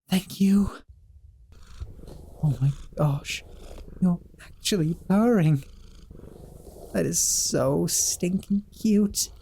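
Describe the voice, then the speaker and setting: A man playing a gentle, doting young sorcerer roommate in a scripted audio roleplay, talking softly and a little flustered. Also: sits high, very slow, creaky, pauses often, a little hiss or hum, exaggerated voice.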